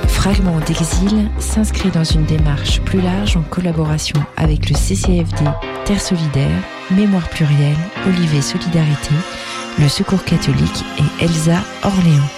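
A woman delivers a scripted podcast introduction. Her voice sits low for a woman, and loud music plays behind her the whole time. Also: moderate pace. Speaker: podcast announcer.

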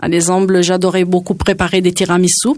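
A woman's voice: fairly low pitch, flat delivery, fast talker, a little mellow, no room sound, clean studio sound. A woman in her forties from Naples who speaks French with an Italian accent and takes an interview guest's part, talking hesitantly with many fillers while she searches for words. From Naples, Italy. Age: 40s. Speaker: cook, guest. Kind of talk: interview conversation.

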